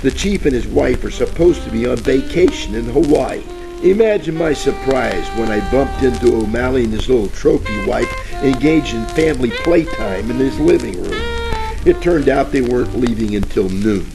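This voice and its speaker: A man playing a wisecracking, hapless private eye in a parody of old radio detective drama, with deadpan delivery. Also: mid-range voice, moderate pace, vocal fry. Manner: deadpan, wisecracking first-person narration. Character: wisecracking hardboiled male gumshoe, hapless and flirty. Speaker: narrator and lead actor. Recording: crackly old-time radio with music.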